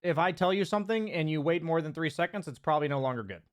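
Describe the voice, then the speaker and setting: A man's voice: a little high, lively intonation, quick pace. A man coaching a player one-on-one in a loose, chatty way, with lots of fillers and the odd swear word. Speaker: game coach. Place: streaming from home.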